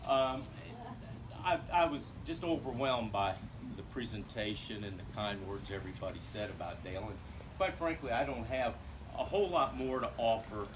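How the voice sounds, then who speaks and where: A man's voice: mid-range voice, normal speed. An older man with a big voice, giving a joking tribute speech at a party. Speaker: investment adviser speaking at a party. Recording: very noisy event recording.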